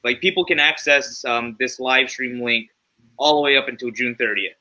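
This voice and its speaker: A man hosting a theater livestream, chatting in a friendly, upbeat way and reacting with lively interjections. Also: mid-range voice, moderate pace, poor audio. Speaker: host. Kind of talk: casual interview chat.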